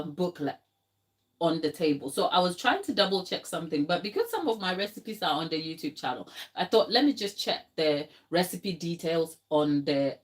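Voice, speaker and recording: Ghanaian lady talking in her kitchen, lowish voice, chatty and rambling, lots of ums. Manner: chatty storytelling, many fillers, talks to live chat. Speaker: home baker and channel host. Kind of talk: casual chat while working in the kitchen. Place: home kitchen.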